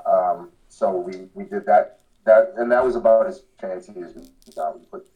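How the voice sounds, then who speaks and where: A man's voice: low-pitched, moderate pace, muffled recording. A man giving casual, slangy interview answers as a podcast guest, earnest and rambling. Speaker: podcast guest, hardcore band vocalist.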